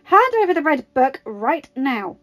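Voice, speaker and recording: Woman voicing a stern, high-pitched female secret agent. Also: animated, normal speed, no room sound. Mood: demanding. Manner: exasperated, bossy.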